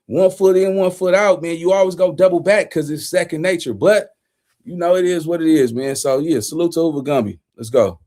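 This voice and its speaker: Male streamer, high register, loose slang-heavy rambling, animated street-talk commentary. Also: fairly quick.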